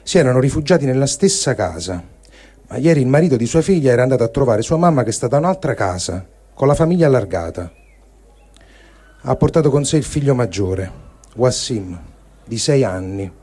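A man giving a grave, deliberate dramatic reading of a written diary, phrase by phrase. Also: mid-range voice, very animated, mellow, slightly rough. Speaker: reader of written testimonies.

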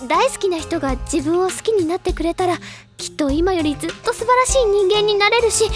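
An actress playing a cheerful, bookish teenage club president in an audio drama, with gushing, theatrical, feminine phrasing. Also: female voice, very high-pitched, a little fast, soft background music. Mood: dreamy, earnest. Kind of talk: Japanese audio drama, anime-style acting.

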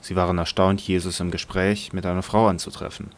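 A man narrating a scripted Bible audio drama in a measured storytelling manner. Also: low-pitched, level tone.

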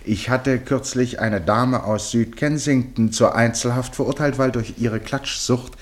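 A man's voice: mid-range voice, unhurried, close to an everyday voice. An older man acting in a German radio play as an eccentric, genial club chairman and former judge, speaking formally and grandly. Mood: proud oratory. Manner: grandiloquent, precise, self-amused.

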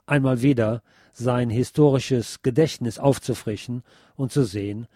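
A man hosting a radio programme, reading scripted narration in a measured, deliberate way. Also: medium pitch, mellow, no room sound, studio quality. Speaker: radio show host.

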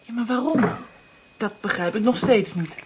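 A woman's voice: medium pitch, normal speed, very noisy, lightly acted. An actress playing a curious, sympathetic young woman in a Dutch radio drama, with a hesitant, questioning manner.

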